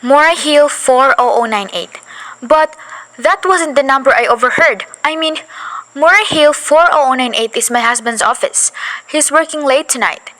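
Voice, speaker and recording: A woman's voice: high voice, pushed and bright, rough recording. A girl playing a fretful, demanding invalid wife. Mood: anxious. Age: young. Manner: fretful, impatient, talks fast when agitated. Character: anxious, demanding invalid housewife, adult woman. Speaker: student actor in a school radio play. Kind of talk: school radio play acting.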